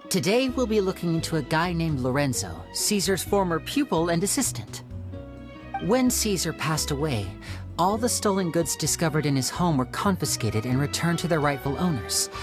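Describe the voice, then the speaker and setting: A performer voicing a charming, smooth young male magician in a video game, with a polite, persuasive manner. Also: fairly high pitch, expressive, moderate pace, over music, natural voice. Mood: explaining.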